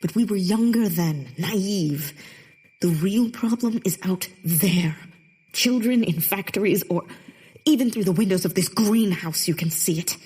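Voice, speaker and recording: A woman's voice: mid-range voice, measured pace, warm tone, slightly rough. An actress playing a bitter, theatrical Victorian woman poet in a comedic period audio drama, with a haughty, sardonic delivery. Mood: exasperated, weary.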